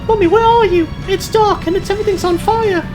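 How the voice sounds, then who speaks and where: A man voicing a frightened little girl who pleads and whimpers in an exaggerated, babyish falsetto. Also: steady tone, brisk, over music. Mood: scared, pleading. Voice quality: shrill falsetto.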